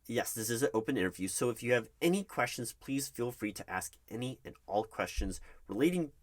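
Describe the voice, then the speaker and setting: A man's voice: medium pitch, lively intonation, brisk, natural voice. A man playing a nervous, polite corporate hiring manager in an ASMR roleplay, speaking formally with some hesitation. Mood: formal, earnest. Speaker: roleplay performer. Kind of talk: one-sided ASMR roleplay of a job interview.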